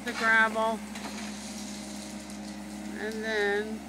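A woman's voice: on the high side, monotone, extremely slow. A woman casually narrating a home renovation video while filming outdoors, chatty and full of "you know" fillers. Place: outdoors at a building site. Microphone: phone camera held by the narrator. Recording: outdoor phone video with loud machinery. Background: digger engine noise.

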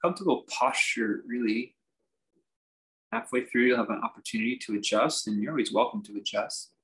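A man teaching a guided meditation, speaking in measured, halting phrases with reflective pauses. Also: mid-range voice, a bit bright, low-quality recording. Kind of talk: guided meditation, unscripted instruction.

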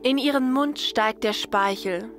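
A woman's voice: on the high side, a little slow. A woman reading scripted narration for a science-fiction audio story. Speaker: narrator and writer. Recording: music under the voice. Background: music.

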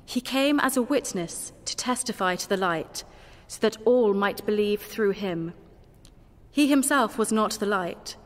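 Female scripture reader, higher-pitched voice, measured and formal, heard with long church reverb. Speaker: scripture reader at a carol service.

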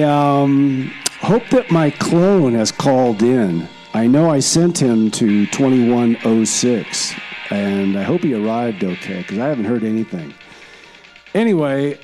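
A man's voice: medium pitch, warm tone. A man playing a laid-back, hopeful time-traveling musician leaving a casual message in a radio comedy.

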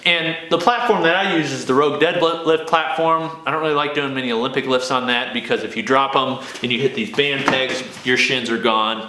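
Bright-voiced young man in an echoey garage gym. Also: medium pitch. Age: young adult. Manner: emphatic, keeps adding "okay?". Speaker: fitness video host. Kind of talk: casual talk to camera. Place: home garage gym, indoors. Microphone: camera mic, moving around the room.

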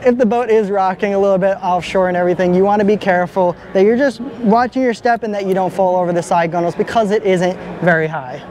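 A man's voice: high voice, fast talker, hardly any pauses, darkish tone. Upbeat young man touring a boat. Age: young adult. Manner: enthusiastic, upbeat. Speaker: boat vlogger. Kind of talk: walkaround boat review. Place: large indoor boat show hall. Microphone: handheld camera at arm's length.

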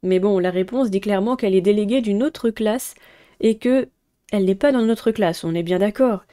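A woman's voice: mid-range voice, normal speed, dark tone. A woman, narrating a video game playthrough and reading a visual novel aloud. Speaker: gaming streamer.

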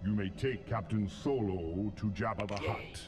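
A man playing a menacing, imperious armored dark lord in a game cutscene, speaking in measured, ominous commands. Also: lowish voice, close to an everyday voice. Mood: commanding. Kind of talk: video game cutscene acting. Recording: long reverb under loud film music.

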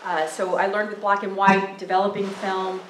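Loud, chatty woman in an echoey room. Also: medium pitch, little pitch movement, moderate pace, a little hiss or hum. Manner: loud, chatty, with many fillers. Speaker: photographer giving a talk. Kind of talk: casual public talk. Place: library meeting room, indoors. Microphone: handheld microphone through PA.

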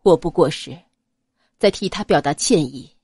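A woman voicing a cold, restrained young nobleman in an audiobook, quiet, grave and measured. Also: deep voice, expressive, husky, no echo, studio quality, voice pushed down, full character voice. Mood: quiet, guarded. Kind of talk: dramatized audiobook character acting.

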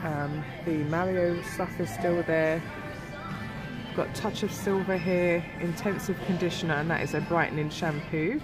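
A woman's voice: fairly low pitch, fairly even intonation, a little slow. Woman, stuffy nasal voice, chatty shop vlog over music. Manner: chatty, filler-heavy. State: nasal from a cold. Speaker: beauty vlogger. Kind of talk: casual shop walkthrough. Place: shop aisle, indoors. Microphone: handheld phone camera.

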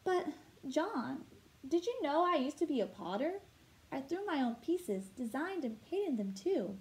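Woman reading as an earnest, higher-voiced young mother. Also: big pitch swings, unhurried, poor audio. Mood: surprised.

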